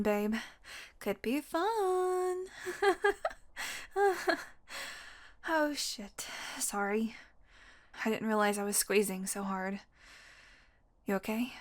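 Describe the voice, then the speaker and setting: Casting: actress for female vampire, wry and teasing, higher-pitched voice, unhurried delivery, intimate audio drama. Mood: strained, urgent. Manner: sardonic, teasing, casual, swearing. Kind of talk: intimate audio roleplay acting.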